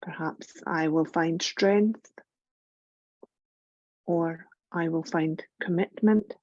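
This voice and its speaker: A woman with a lowish, soft-edged voice leads a guided meditation. She speaks gently and soothingly, leaving generous pauses between phrases. The recording is dry and close, with a slightly dull, muffled sound. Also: fairly even intonation, dry recording. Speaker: yoga nidra meditation guide.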